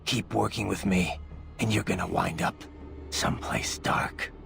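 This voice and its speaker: A man voicing a grim, hardened cyborg soldier in a video game, speaking tersely and broodingly in a low gravelly voice. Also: fairly even intonation, measured pace, a bit bright, faint music behind, exaggerated voice. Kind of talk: dramatic video game voice acting.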